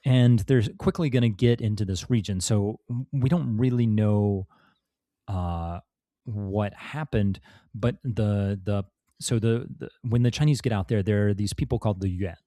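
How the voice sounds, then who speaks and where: A man's voice: fairly low pitch, unhurried, mellow, no echo. A man, a podcast guest and author, telling a history story in casual talk, with many fillers and restarts. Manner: rambling, many fillers and restarts, laughs now and then.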